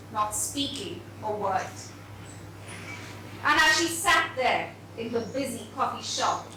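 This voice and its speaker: Slow woman reader in a very echoey hall. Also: on the high side. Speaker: story reader. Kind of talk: dramatised book reading on stage. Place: echoey event hall with audience. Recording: very echoey hall, distant, noisy.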